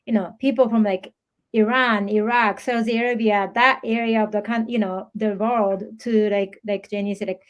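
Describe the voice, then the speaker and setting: A woman in her forties from Japan, with Japanese as her first language, speaking on an online panel. She searches for words and fills pauses often. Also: medium pitch, steady tone, muffled recording. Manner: searching for words, frequent fillers.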